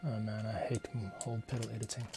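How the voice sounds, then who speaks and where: A man's voice: on the low side, little pitch movement, fast talker, over music. A man composer talking casually to himself as he works, muttering and counting beats aloud. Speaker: composer, host. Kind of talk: casual working commentary while scoring.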